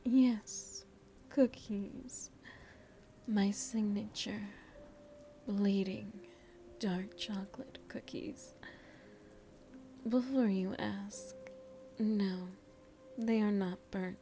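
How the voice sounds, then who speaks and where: Woman voicing a slow, whispery seductive vampire hostess. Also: mid-range voice, fairly even intonation, faint music behind. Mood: sweet, inviting. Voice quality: breathy, whispery. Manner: slow, soft, tender, teasing. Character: seductive, doting motherly female vampire hostess. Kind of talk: ASMR roleplay.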